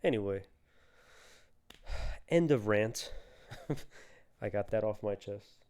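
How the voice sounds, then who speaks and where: Young male podcast co-host, warm and smooth, loose rambling delivery. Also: lowish voice, expressive, slow pace. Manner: loose and rambling, laughs often. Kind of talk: casual chat.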